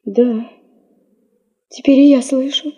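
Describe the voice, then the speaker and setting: An actress in a radio play playing an affectionate teenage granddaughter who gently teases her grandfather. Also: steady tone, slow, slightly rough, muffled recording, full character voice. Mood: tender. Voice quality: high, soft. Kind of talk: Russian radio play acting.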